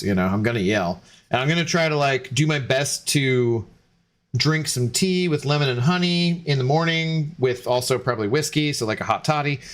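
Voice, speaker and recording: A young man, a game streamer, chatting loosely with viewers while tipsy, rambling with many fillers and restarts. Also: medium pitch. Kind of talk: casual stream chat to viewers.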